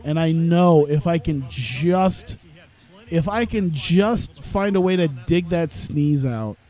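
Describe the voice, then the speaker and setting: A man's voice: on the high side, warm tone. A man chatting casually while playing a video game at his computer, rambling with lots of fillers, stuffed up from his sinuses. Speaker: gaming YouTuber. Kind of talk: casual gameplay commentary. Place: home room at his computer.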